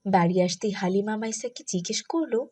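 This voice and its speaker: A woman's voice: mid-range voice, fairly quick, a little mellow, slightly rough. A woman delivering scripted narration for a children's moral story as its storyteller.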